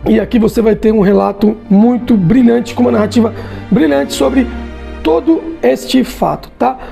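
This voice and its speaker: A man's voice: very high-pitched, normal speed, creaky, warm tone, faint music behind. A Brazilian man giving a casual comic book review, chatty and tagging his sentences with little check-ins. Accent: Brazilian Portuguese.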